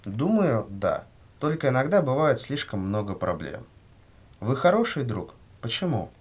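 Husky, lively-pitched man reading lesson dialogue. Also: measured pace. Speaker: language-lesson questioner and model speaker. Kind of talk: scripted language-lesson dialogue, read aloud.

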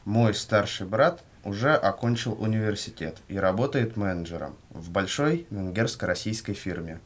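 Male, lowish and husky, varied intonation, delivering measured language-course questions and model replies. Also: expressive. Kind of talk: scripted language-lesson dialogue, read aloud.

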